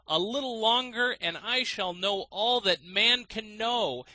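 A man voicing a storybook trickster who boasts of lofty wisdom in slow, declamatory archaic speech. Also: high voice, bright, forceful tone, no echo, voice pushed up, full character voice, rough recording. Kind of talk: storybook character reading.

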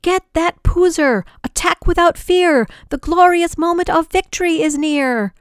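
A woman's voice: fairly high pitch, lively intonation, measured pace, dry recording, studio quality, pitched above the performer's own voice. A woman voicing a blustering, pompous male army general who bellows his commands. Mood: rallying.

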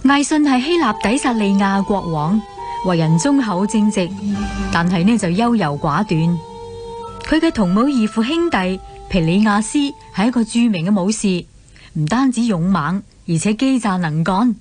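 A woman with a Hong Kong Cantonese accent narrating a scripted radio drama as an expressive storyteller. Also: medium pitch, moderate pace, soft background music.

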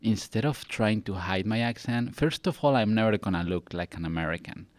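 A man's voice: fairly low pitch, animated, a little fast, no room sound. A young man from Catalonia with a Spanish accent, a native Spanish and Catalan speaker, talking casually and earnestly as a podcast guest into a close studio mic. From Catalonia, Spain. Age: young adult. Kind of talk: casual interview talk. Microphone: close boom-arm studio mic.

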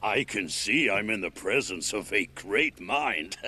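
An actor playing a mocking, pretentious cultist artist, a talking severed head, theatrical and grandiose in a video game. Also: male voice, lowish voice, expressive, a little slow, bright, forceful tone, slightly rough, heavily acted. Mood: mocking, gleeful. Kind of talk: video game cutscene acting.

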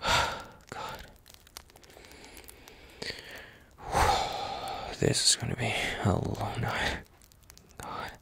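A man playing a tender, anxious young boyfriend in a roleplay ASMR audio, husky and halting in his speech. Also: close to an everyday voice. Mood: weary. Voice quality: husky, gravelly. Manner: halting, hesitant, soft-spoken, sighing.

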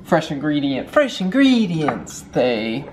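A man's voice: a little high, sing-song, takes it slowly, darkish tone, a little hiss or hum. A young man co-hosting a casual cooking vlog in his home kitchen, plainly explaining each step. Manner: easygoing, explaining steps plainly. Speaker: vlog co-host. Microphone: handheld camera.

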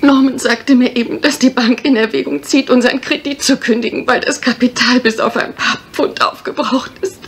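A proper, high-voiced young landlady. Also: steady tone, moderate pace, hardly any pauses, breathy edge. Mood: worried. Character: polite, troubled young landlady of guesthouse. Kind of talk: German radio mystery play acting. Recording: faint noise, retro radio play.

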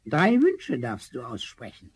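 A man playing a kindly, scolding little old forest spirit with a thin, delicate, raspy voice in a vintage fairy-tale radio play. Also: medium pitch, huge pitch swings, measured pace, exaggerated voice. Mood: cautioning, benevolent. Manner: chuckling, chiding, grandfatherly.

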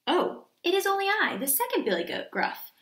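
A woman's voice: very high-pitched, very animated, moderate pace, fairly bright tone, pitched above the performer's own voice, heavily acted. A woman voicing a middle-sized male billy goat in a children's story, polite and pleading. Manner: pleading, not so small voice. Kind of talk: children's storybook read-aloud. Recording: echoey room.

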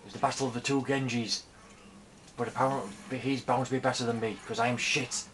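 A man's voice: mid-range voice, fairly even intonation, a little fast, fairly bright tone, a little hiss or hum. A man giving casual, self-deprecating commentary over his own gameplay, swearing and muttering to himself. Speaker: gaming channel host.

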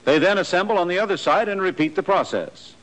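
A man giving scripted, measured instructional narration for a 1940s army training film. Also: high-pitched, animated, fairly bright tone, rough recording.

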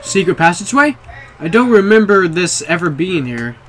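A young man in a small bedroom, casually commenting on a horror game for viewers, jumpy and excitable, swearing often. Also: high-pitched, moderate pace, faint music behind. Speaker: gaming video creator.

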